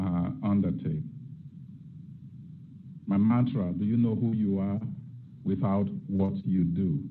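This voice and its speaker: A man's voice: fairly low pitch, phone-quality sound. A middle-aged man from Nigeria, giving an academic lecture and posing rhetorical questions as a guest lecturer. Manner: reflective, asks rhetorical questions.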